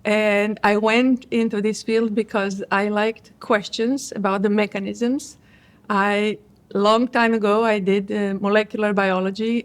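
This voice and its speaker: Reflective woman from Croatia. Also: medium pitch. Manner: thoughtful, with many restarts and fillers. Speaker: cardiologist guest. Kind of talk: conference interview.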